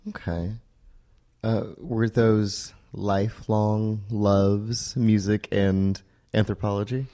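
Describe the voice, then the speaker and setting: A male podcast host with a very low voice and a dark, soft tone. He asks his questions in a laid-back, meandering way, circling around before he lands on the point. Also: little pitch movement, takes it slowly. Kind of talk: casual interview.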